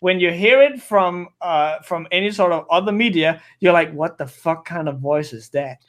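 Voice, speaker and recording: A young man speaks English with a Danish accent in casual, easygoing conversation. His voice sits high for a man. He laughs often and stumbles into repeated false starts. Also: normal speed, fairly bright tone. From Denmark. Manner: laughs often, stammering restarts.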